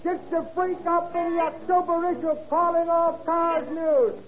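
A man playing an excitable young newsboy, crying out his wares in a 1930s radio advertisement. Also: extremely high pitch, normal speed, faint music behind. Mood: excited, hawking. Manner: loud sing-out hawking. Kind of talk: 1930s radio advertisement, street hawker's cry. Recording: dull vintage radio recording.

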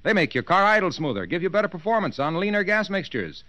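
An eager salesman in a 1940s radio commercial skit. His voice is bright and forceful, set a bit high and very animated. The patter is glib and punchy. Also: quick pace, dry recording, heavily acted. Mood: glib, upbeat.

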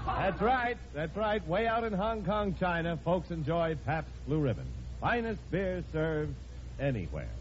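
A man's voice: a little high, very animated, unhurried, heavy background noise. An American man announcing an old radio show and reading its sponsor messages in 1950s style. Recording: muffled old radio recording, music behind.

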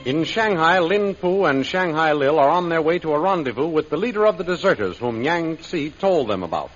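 Animated, high-pitched male radio serial announcer. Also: a little fast, faint music behind. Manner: dramatic, declamatory. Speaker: announcer, narrator. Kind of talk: 1930s radio serial announcing, scripted narration. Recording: muffled, lo-fi old radio recording.

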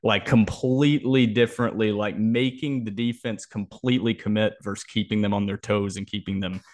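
A man's voice: lowish voice, steady tone, normal speed. A man hosting a sports podcast, talking through a football preview in an opinionated, emphatic way. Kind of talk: football preview analysis, conversational.

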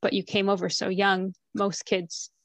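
A woman's voice: mid-range voice, level tone, unhurried, a bit bright, no echo. A woman in her thirties hosting a podcast interview, joking lightly and asking curious questions. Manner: friendly, jokey, curious questions. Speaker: podcast host. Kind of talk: casual interview chat.

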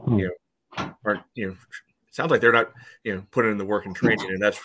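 A man on a sports podcast talking casually and haltingly, often dropping in "you know". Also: fairly low pitch, fairly even intonation, fairly bright tone, a little hiss or hum. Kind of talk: casual soccer discussion.

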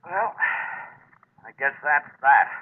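A man's voice: fairly high pitch, lively intonation, takes it slowly. Anxious salvage crewman, tinny radio sound. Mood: cautious concern. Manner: concerned, hesitant, urging. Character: anxious, cautious male salvage partner on the ship. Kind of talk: old-time radio suspense acting. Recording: tinny, lightly noisy old radio recording.

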